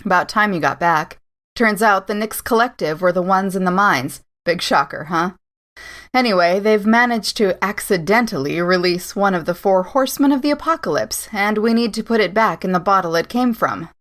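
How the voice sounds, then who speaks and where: A woman playing a hardened monster hunter with a sardonic, brisk, offhand manner. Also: medium pitch, lively intonation, a bit bright, close to an everyday voice. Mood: wry, brisk.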